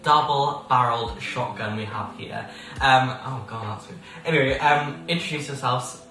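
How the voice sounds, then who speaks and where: Giggly teen host in a roomy space. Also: male voice, mid-range voice, normal speed, sharp tone. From England. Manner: playful, laughs often, rambling. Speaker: podcast host. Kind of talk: casual podcast chat. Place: indoors at a table. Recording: roomy sound from a distance with background noise.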